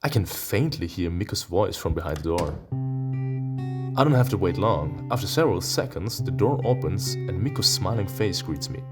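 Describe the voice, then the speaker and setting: A man playing a visual novel, reading its text aloud and breaking into excitable, playful reactions. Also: low voice, moderate pace. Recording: music behind the voice. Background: game music with plucked guitar.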